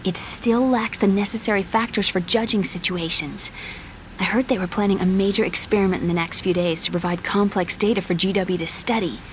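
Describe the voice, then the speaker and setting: A woman's voice: medium pitch, fairly even intonation, fairly quick, slight background noise, close to an everyday voice. An actress voicing an earnest, brainy young woman computer scientist in a video game, lecturing precisely and a little haughtily with pointed questions. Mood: grave, explaining. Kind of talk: scripted game cutscene dialogue.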